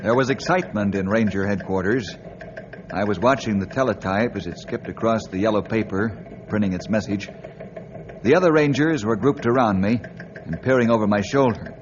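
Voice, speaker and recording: A man's voice: fairly low pitch, normal speed, lightly acted. A man playing an earnest, plain-spoken forest ranger who narrates a 1950s radio adventure. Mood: somber. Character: earnest, steady adult male forest Ranger hero narrating. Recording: dull, noisy old radio recording.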